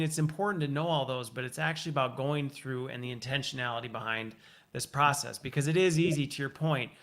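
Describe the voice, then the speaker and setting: A man with a fairly high voice hosts a relaxed, conversational interview. He is talkative, often thinking aloud and summing up points before moving on. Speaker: interview host.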